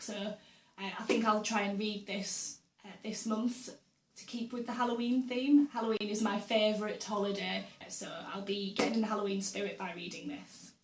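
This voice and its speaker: Chatty woman, slightly hoarse. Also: mid-range voice, noisy background. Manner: chatty, with frequent ums and uhs and little laughs. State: slightly hoarse from a sore throat. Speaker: book vlogger. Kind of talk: casual chat to camera. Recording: roomy echo, voice a bit far from the mic.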